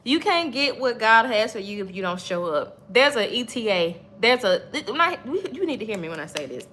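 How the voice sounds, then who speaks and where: A woman's voice: fairly high pitch, very animated, fairly quick, fairly bright tone, slight background noise. A woman in her thirties giving an emphatic, repetitive faith-based motivational talk to livestream viewers. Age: 30s. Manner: preachy, emphatic, repeats points, chats with viewers. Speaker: livestream host.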